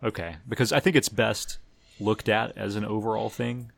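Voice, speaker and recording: Gravelly, low-voiced man hosting a podcast. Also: fairly even intonation, moderate pace, dry recording. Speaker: podcast host. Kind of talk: casual chat about TV episodes.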